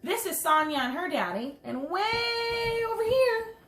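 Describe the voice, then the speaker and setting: A woman reading a picture book aloud to young children, expressive, with chatty asides and simple questions to her listeners. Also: extremely high pitch, a little slow. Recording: roomy echo, some background noise.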